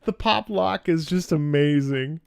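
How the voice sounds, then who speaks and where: A man streaming a casual live reaction and musical analysis, animated and conversational, close to the microphone. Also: a little high, unhurried, gravelly, loud music behind the voice. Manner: animated, conversational, profane, demonstrates by singing. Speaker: streamer and opera singer reacting.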